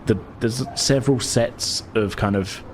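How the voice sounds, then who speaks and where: A man's voice: medium pitch, a little slow, slight background noise. A man running a tabletop game as game master, narrating casually in a rambling, self-correcting way.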